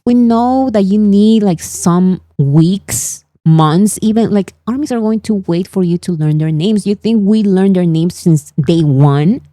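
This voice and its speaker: A woman's voice: medium pitch, a little slow, few pauses, dark tone, no echo. A woman whose first language is Spanish, commenting casually on reaction videos with joking, opinionated asides.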